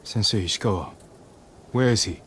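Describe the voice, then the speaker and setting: A low, solemn samurai warrior, played by a male actor in a game cutscene. He speaks tersely and with measured resolve, over clear background ambience. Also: fairly bright tone, a little hiss or hum, natural voice. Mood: stern, wary. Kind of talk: video game cutscene acting.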